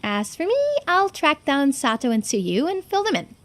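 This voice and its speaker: Woman as bubbly young girl, cheeky, high voice, swooping pitch, game character. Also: sing-song, moderate pace, fairly bright tone. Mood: breezy, cheerful. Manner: bubbly, informal.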